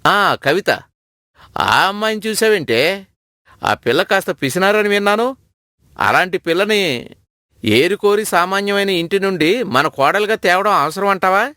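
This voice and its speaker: Grumbling old husband played by a man, slightly high voice, dry studio sound, doubtful and resigned, for a family cartoon. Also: animated, a little slow, long pauses, bright, forceful tone, dry recording, full character voice. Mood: doubtful, questioning. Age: older.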